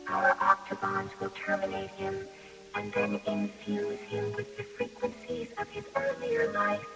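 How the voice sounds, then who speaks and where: An actress playing a solemn alien woman in a science fiction radio drama, speaking in formal, measured phrases. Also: medium pitch, huge pitch swings, vocal fry, over music, heavily acted, low-quality recording. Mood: grave, commanding. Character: solemn alien woman healer from a utopian world.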